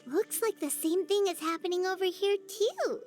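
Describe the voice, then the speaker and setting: A woman voicing a small, chatty, earnest fairy companion in a video game, with a squeaky childlike voice and chirpy delivery. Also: moderate pace. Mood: curious. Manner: chirpy, expressive. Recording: music behind the voice.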